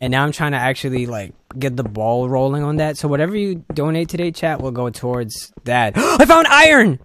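A man's voice: medium pitch, sing-song, fairly quick, bright, forceful tone, no echo. A young man chatting casually on a game stream, joking and swearing, at a close desk mic. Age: young adult. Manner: jokey, sweary. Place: indoors at a desk. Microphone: close streaming mic on boom arm. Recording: faint background noise and clicks.

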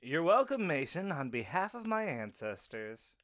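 Man acting animated, higher-voiced easygoing young werewolf guy. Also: moderate pace, no echo, clean studio sound. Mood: wry, informative. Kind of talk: audio roleplay drama acting.

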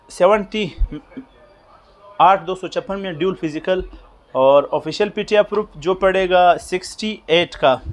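A man in his thirties with a Pakistani Urdu accent and a fairly high voice runs through phone prices to the camera. He talks briskly and repetitively, filling pauses with "uh". He is close on a lapel mic inside a small shop.

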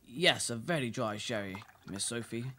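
A woman's voice: extremely low pitch, animated, normal speed, a bit bright, a little hiss or hum. A woman acting a deferential, formal male butler in an amateur radio play, repeating himself obligingly. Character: James, deferential elderly male English butler.

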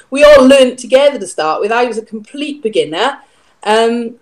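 A woman's voice: a little high, poor audio. A middle-aged woman with a Welsh accent, a music teacher chatting as a guest on a video call from home. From Wales. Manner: chatty, friendly, explains at length. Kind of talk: casual interview chat. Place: home room, indoors. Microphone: video call webcam.

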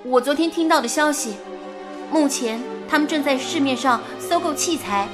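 An actress playing a quick-tongued, teasing woman who works at a radio station, in a radio drama. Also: high voice, over music, natural voice. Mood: concerned.

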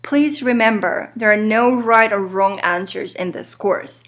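A woman in her thirties from Ukraine with a strong Eastern European accent, whose first language is Ukrainian. She gives an earnest, measured introduction to her course, addressing her students directly. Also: medium pitch, fairly bright tone. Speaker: instructor.

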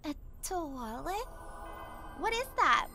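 A woman's voice: high-pitched, very animated, slow, heavily acted. A woman voicing an anxious, naive and curious teenage schoolgirl in an anime fan dub. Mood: puzzled. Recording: music under the dialogue.

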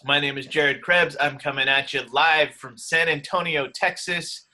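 A man's voice: a little high, fairly even intonation, moderate pace, pushed and bright. A man in his thirties hosting a group video call from his home office, upbeat and welcoming. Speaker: host.

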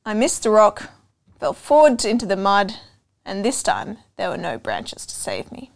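A young adult woman reading a children's storybook aloud, expressive and deliberate, pausing to put questions to listeners. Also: mid-range voice. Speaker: storytime reader. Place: indoor room with couch and bookshelves.

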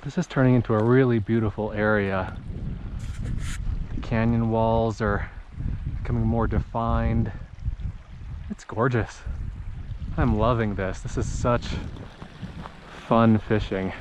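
Slow-talking man vlogging by a creek. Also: on the low side, background noise. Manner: excitable, exclaims at each catch. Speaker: fishing vlogger. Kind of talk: casual outdoor narration while fishing. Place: small creek in an open mountain valley outdoors. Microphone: head-mounted action camera.

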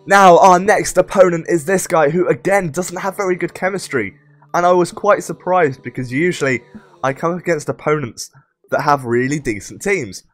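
A young man hosting casual game commentary, his voice croaky and rough from a sore throat, chatty and upbeat. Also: fairly high pitch, moderate pace. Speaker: gaming channel host.

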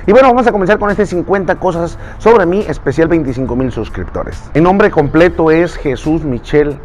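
A man's voice: fairly high pitch, slight background noise. Chatty Mexican man talking to camera. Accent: Mexican Spanish. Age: 30s. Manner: chatty and earnest, gesturing with his hands, pausing to check notes. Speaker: YouTuber and voice impressionist. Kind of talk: casual talk to camera. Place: outdoors in a recreational park, standing against a pale wall.

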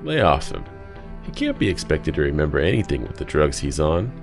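A man playing a sinister, wry asylum inmate in an audio drama, calm and deadpan as he taunts. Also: extremely low pitch, brisk, gravelly, over music, full character voice.

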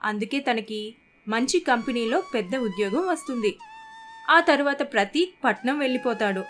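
A woman's voice: on the high side, normal speed, a bit bright. A woman narrator telling a scripted moral family story. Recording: faint music bed behind the voice.

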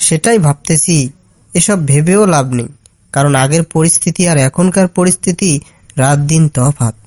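A man acts out a downhearted young villager from a cartoon folk tale. His voice is fairly high and soft-edged, with an earnest, plaintive way of talking. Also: moderate pace, lightly acted. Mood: dejected. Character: Rafiq, dejected educated jobless village young man.

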